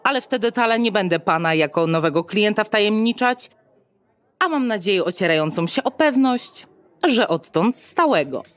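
A woman acting as an officious female receptionist in a futuristic radio play. She delivers formal, bureaucratic patter with a haughty, brisk edge. Her pitch sits in the middle of the female range. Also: expressive, dry recording, natural voice. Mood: officious, salesy. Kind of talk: Polish audio drama acting.

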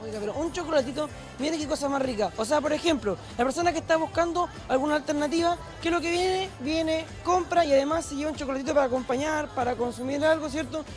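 A young man with a Chilean accent, a TV reporter walking a craft fair and pitching it to viewers with a handheld mic. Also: fast, hardly any pauses. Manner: upbeat, persuasive, addresses viewers directly. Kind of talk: promotional TV fair walkthrough. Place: indoor craft fair hall. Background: background music.

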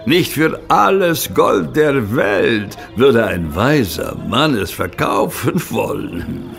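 Very gravelly old Egyptian sheikh. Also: male voice, mid-range voice, lively intonation, fairly bright tone, heavily acted. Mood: mysterious, proud. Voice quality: gravelly, creaky. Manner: slow, theatrical, secretive. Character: sly old Egyptian sheikh dealing in antiquities. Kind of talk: audio drama acting. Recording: music under the voice.

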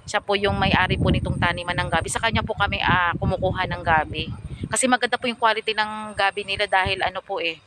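A middle-aged woman chats her way through a walking vlog outdoors, polite and a little rambling with lots of fillers. Her voice is bright and forward. It is picked up by a phone held out on a selfie stick in an open field. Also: mid-range voice, fast talker, slight background noise. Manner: polite, chatty, frequent fillers. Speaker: vlogger. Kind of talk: casual walking vlog narration.

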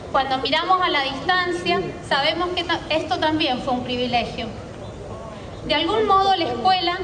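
Argentine woman giving an outdoor speech, high voice. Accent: Argentine Spanish. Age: early 40s. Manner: measured, reflective, read from notes. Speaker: alumni speaker. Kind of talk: formal commemorative speech read aloud. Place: outdoor school courtyard ceremony. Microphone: podium microphone filmed from a distant camera in the crowd. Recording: far-off echoey outdoor PA with crowd.

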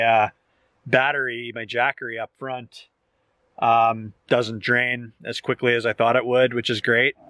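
A man's voice: mid-range voice, a bit bright, dry recording. Casual man vlogging, dry close sound. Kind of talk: casual outdoor vlog chat. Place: outdoors beside and inside a parked truck. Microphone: handheld camera at arm's length.

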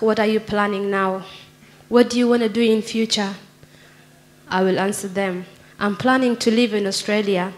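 Young woman from Somalia reading poetry. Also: medium pitch. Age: young adult. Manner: earnest, measured, reading from paper. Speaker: poet. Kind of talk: spoken word poetry reading. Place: stage of a small theatre. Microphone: handheld mic.

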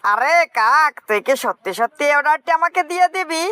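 A woman's voice: high-pitched, animated, a little fast, pushed and bright, no room sound, studio quality. A woman voicing a gullible, earnest fox uncle with a plaintive manner in a children's cartoon story. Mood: eager. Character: gullible, anxious fox parent, called uncle.

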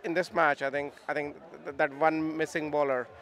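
A man's voice: a little high, level tone, moderate pace, a bit bright. A middle-aged man giving his opinions as a sports fan, hesitating and repeating words, outdoors on a handheld microphone. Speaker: sports fan being interviewed. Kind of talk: casual post-match opinion. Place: outdoors outside a stadium. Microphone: interviewer's handheld microphone.

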